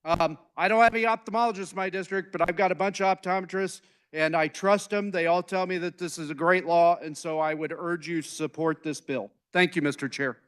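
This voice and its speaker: A man serving as a state legislator, speaking plainly and somewhat haltingly in a floor debate in a legislative chamber. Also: high voice, steady tone, a bit bright, clear, resonant tone.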